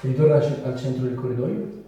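A man's voice: mid-range voice, lively intonation, a little fast, warm tone, a little hiss or hum. A man giving casual spoken directions, hesitantly repeating his words as he goes. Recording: very echoey room, distant mic.

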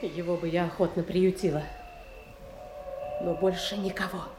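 A woman playing a cold, hard-hearted noblewoman, a king's daughter, with crisp, imperious delivery in classical audio drama. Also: fairly low pitch, steady tone, normal speed, darkish tone, lightly acted. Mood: cold, resolute. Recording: roomy echo, faint background noise.

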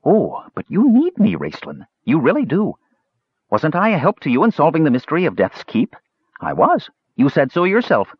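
A man's voice: on the high side, breathy edge, dry recording, dull audio, voice pushed up, exaggerated voice. A man voicing a small, cheerful, talkative kender who rambles eagerly, as an audiobook character. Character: small cheerful chattering kender, pesky and indignant.

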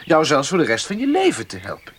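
An actor playing a persuasive young gentleman student in a radio play, coaxing and condescending, with hesitant pauses. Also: fairly high pitch, wildly swooping pitch. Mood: persuasive, scheming. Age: young adult. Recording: faintly noisy old radio play recording.

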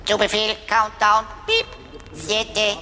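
A woman's voice: mid-range voice, slow, a little hiss or hum. A woman voicing a cheeky, vain, easily offended pocket computer with theatrical, bookish delivery in a science fiction radio drama. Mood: cocky, mocking. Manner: sassy, theatrical, bookish wordplay. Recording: loud music behind the voice.

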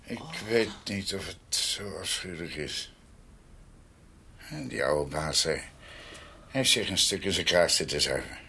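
An older man playing a world-weary, wry ageing doctor in a radio drama, speaking haltingly and repeating himself. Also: low-pitched, expressive, pushed and bright, a little hiss or hum, exaggerated voice. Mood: wry, musing. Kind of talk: Dutch radio drama acting.

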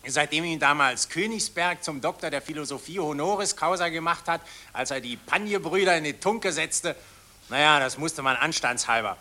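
A very bright, pompous young student. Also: male voice, fairly high pitch, moderate pace. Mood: exuberant, pompous. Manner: exuberant, pompous, declamatory. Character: hearty, pompous young nationalist philosophy student. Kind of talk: 1940s German radio play acting.

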